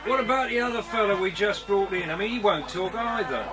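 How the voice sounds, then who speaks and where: An actor playing a deferential, rough smuggler's henchman in a children's adventure drama. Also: high voice, brisk, lightly acted. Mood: deferential, scornful. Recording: cassette drama with loud music.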